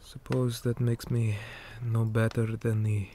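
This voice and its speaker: A man playing a weary, stern orc commander in an ASMR roleplay, speaking in a hushed, deliberate manner that often drops toward a whisper. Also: medium pitch, flat delivery, close to an everyday voice. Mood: grave, weary. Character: weary male orc commander, stern, measured, dutiful soldier. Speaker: interrogator in a roleplay.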